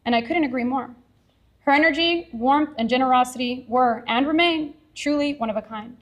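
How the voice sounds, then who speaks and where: Brief: teacher from Texas, woman, high bright-toned voice, sincere prepared speech at a podium. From Edinburg, Texas. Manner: earnest, heartfelt, measured. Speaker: science teacher accepting an award. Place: award ceremony venue.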